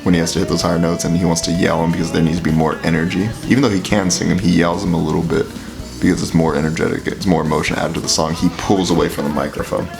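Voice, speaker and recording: Deep gravelly man over music. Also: level tone, quick pace. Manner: casual explanatory, filler-heavy. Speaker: reaction video host. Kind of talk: music reaction commentary. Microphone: headphones with mic. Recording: music playing under the voice. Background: music playing.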